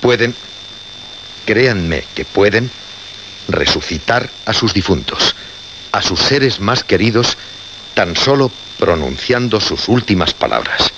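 Unnerved horror presenter, Castilian Spanish, solemn, insistent, widely swinging delivery, muffled noisy radio drama. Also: male voice, fairly low pitch, expressive, takes it slowly, long pauses, bright, forceful tone, full character voice. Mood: ominous.

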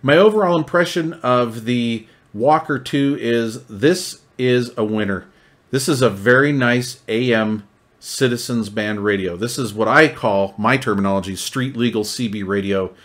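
A man's voice: mid-range voice, moderate pace, fairly bright tone. An American man giving a casual hands-on product review in a folksy, conversational, enthusiast's manner. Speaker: radio reviewer.

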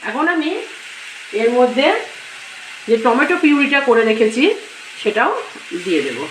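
A woman hosting a cooking channel, giving recipe instructions in a measured, step-by-step way in short phrases. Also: fairly high pitch, expressive, background noise. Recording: roomy echo, music behind.

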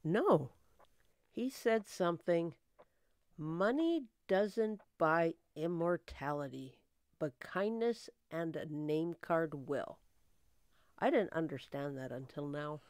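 A woman's voice: sits low, lively intonation, slow pace, a little mellow, dry recording, studio quality. A woman playing a helpful, knowledgeable detective's assistant, earnest and explanatory, in old-time radio drama style. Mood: reflective.

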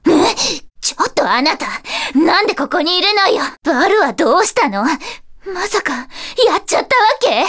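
A woman's voice: very animated, fairly bright tone, full character voice. A woman playing an eerie, intense fortune-telling schoolgirl in a Japanese video game, lovestruck and menacing, ominous in delivery. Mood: flustered. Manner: slow, ominous delivery with whispery drops and sudden shrill outbursts.

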